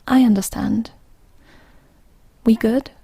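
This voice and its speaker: Sister, female actor: supportive, fun-loving; high, mellow voice with husky edges, unhurried. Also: fairly even intonation, dry recording, full character voice. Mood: reassuring. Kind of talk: science fiction audio drama acting.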